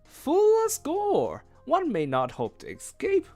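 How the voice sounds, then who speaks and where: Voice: sits high, wildly swooping pitch, takes it slowly, mellow, soft background music, voice pushed up, heavily acted. A reader voicing a timid, frightened page boy. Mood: urgent. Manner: pleading, trembling, old-fashioned speech.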